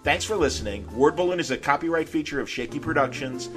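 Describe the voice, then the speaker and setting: A man's voice: on the high side, animated, fast talker, music in the background. A man hosting a podcast, talking casually and off the cuff in a hesitant, rambling way peppered with uhs. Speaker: podcast host. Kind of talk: casual chat, unscripted sign-off to listeners.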